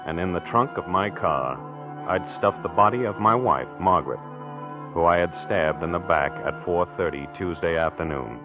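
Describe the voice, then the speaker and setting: An American actor playing a jealous, obsessive wife-killer in a confessional, insistent 1940s radio mystery drama. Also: male voice, deep voice, moderate pace, loud music behind the voice, close to an everyday voice. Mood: smug, confessional. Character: jealous, obsessive man who murdered his wife, narrating his crime. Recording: dull vintage 1940s radio recording.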